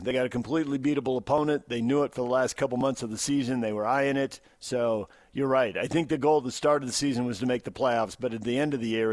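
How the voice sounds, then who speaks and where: A man's voice: medium pitch, no echo. An American man hosting a conversational sports talk radio show.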